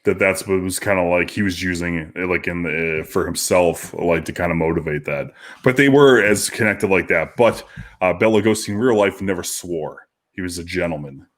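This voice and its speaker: A man co-hosting a podcast in casual chat, swearing heavily and restarting his sentences. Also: low-pitched. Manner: heavy swearing, halting restarts. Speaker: podcast co-host.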